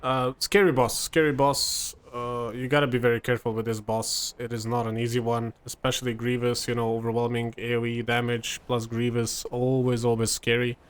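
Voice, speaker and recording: A young man from Serbia, whose first language is Serbian, giving relaxed, chatty gameplay commentary full of fillers and restarts. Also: medium pitch, normal speed, hardly any pauses, smooth.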